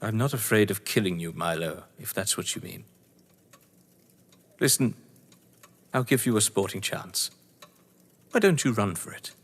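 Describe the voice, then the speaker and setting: Theatrical, menacing gentleman novelist played by a man, cavernous echo. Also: lowish voice, lively intonation, measured pace, pauses often. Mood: smug contempt. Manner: theatrical, eloquent, taunting menace. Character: cold, gloating gentleman crime novelist, betrayed husband. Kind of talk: audio drama acting. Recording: reverberant hall-like room.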